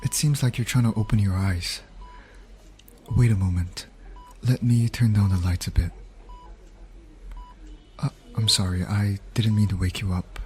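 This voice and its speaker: A man playing a tender, apologetic medic at a bedside, soft-spoken and hesitant, in an ASMR roleplay. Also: fairly low pitch, steady tone, measured pace, pauses often, lightly acted. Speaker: voice actor in an audio roleplay. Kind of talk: intimate ASMR comfort roleplay.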